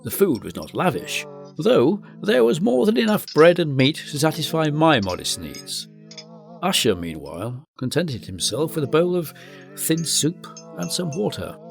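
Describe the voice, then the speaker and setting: An actor playing a grave gentleman narrator of a gothic tale, reading in a formal, literary, dramatic style. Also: on the high side, very animated, measured pace, creaky, faint music behind, full character voice. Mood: measured.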